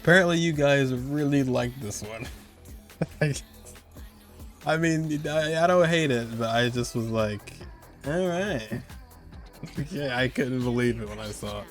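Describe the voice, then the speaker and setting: A man's voice: mid-range voice, unhurried, pauses often, faint music behind. A man giving casual, slangy, conversational commentary as host of a video game countdown. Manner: slangy, conversational, chuckling asides.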